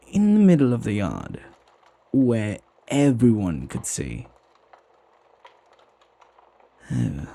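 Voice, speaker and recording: A man playing a flirty, devoted butler in an intimate ASMR roleplay, with genteel, formal phrasing and a husky voice. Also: on the low side, mellow, exaggerated voice. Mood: gossipy, amused. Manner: formal, genteel phrasing with teasing familiarity and sighs. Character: flirty, gossipy, devoted butler, jealously protective of master.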